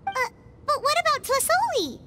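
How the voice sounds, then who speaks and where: Tiny squeaky game fairy girl, super high and bouncy, swooping all over, music behind. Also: big pitch swings, normal speed, very noisy. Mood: concerned. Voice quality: squeaky, childlike. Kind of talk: video game voice acting.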